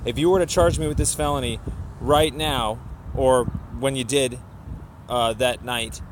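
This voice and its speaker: A man leaving a complaint voicemail, explaining his argument insistently and haltingly, often repeating himself. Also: medium pitch, steady tone, slight background noise, poor audio.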